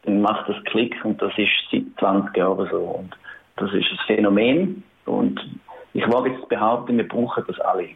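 A man's voice: on the low side, steady tone, moderate pace, vocal fry. A man from Schaffhausen with a Swiss German accent, a band musician answering interview questions in a casual, hesitant way with many fillers and restarts. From Switzerland (Schaffhausen). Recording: tinny phone-like line.

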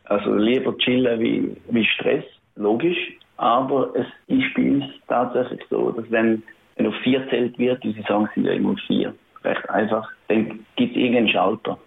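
A man's voice: mid-range voice, unhurried, gravelly. A man from Schaffhausen with a Swiss German accent, a band musician answering interview questions in a casual, hesitant way with many fillers and restarts. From Switzerland (Schaffhausen). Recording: tinny phone-like line.

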